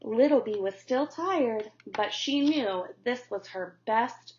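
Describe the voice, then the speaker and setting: A woman teacher reads a children's storybook aloud, slow and gentle. Her voice sits fairly high, and the recording is dull and lo-fi. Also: animated.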